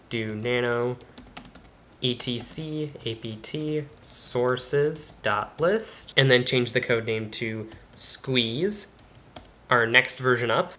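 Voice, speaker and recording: A man's voice: medium pitch, sharp tone, slight background noise. A young man hosting a tech channel, casually talking through each step of a computer install as he does it. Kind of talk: screen-recorded tutorial narration. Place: bedroom studio with computer screens behind.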